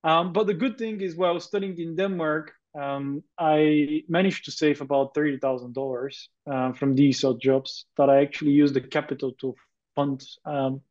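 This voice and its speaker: A man's voice: on the high side, fairly even intonation, normal speed. Man on a muffled line. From Bulgaria. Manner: hesitant, many fillers, earnest. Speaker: guest entrepreneur. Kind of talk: podcast interview.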